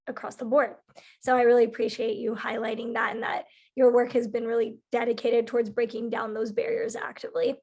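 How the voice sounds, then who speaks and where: A woman moderating a panel in an affirming, measured way. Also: on the high side.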